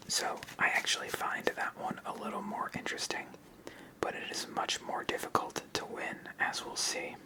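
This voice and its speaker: A person of unclear sex giving a whispered ASMR tutorial as host, explaining step by step in a soft, unhurried manner. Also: rough recording. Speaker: host of a card game channel.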